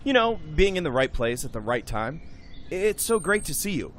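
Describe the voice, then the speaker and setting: A man's voice: on the high side, big pitch swings, moderate pace. A man in his twenties playing a charming, eager young returned serviceman in an amateur audio drama. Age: 20s. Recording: background music under dialogue.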